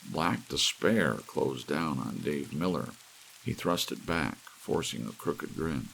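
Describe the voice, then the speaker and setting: A man with a very low, gravelly voice reads a sci-fi story as an ASMR audiobook. Soft rain and faint hiss run behind the narration. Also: lively intonation, slow pace, poor audio.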